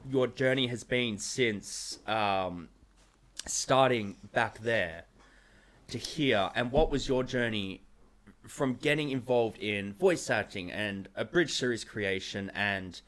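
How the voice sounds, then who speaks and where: A man's voice: medium pitch, takes it slowly, fairly bright tone. A man in his thirties casually hosting an interview. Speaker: interview host. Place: small room studio, indoors. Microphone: close podcast microphone.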